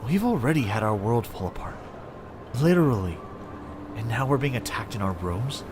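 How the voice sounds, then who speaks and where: A man's voice: mid-range voice, lively intonation, moderate pace, close to an everyday voice. An actor playing a caring, worried refugee man with a casual, protective manner in an audio drama. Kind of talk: naturalistic audio drama acting. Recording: noisy public station ambience.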